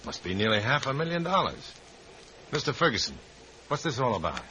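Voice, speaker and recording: An actor playing a wary, wry undercover courier in a dry, hard-boiled 1950s radio drama style. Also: male voice, mid-range voice, very animated, fairly quick, pushed and bright, slight background noise, poor audio. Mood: uneasy, incredulous. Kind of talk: 1950s American radio drama acting with narration.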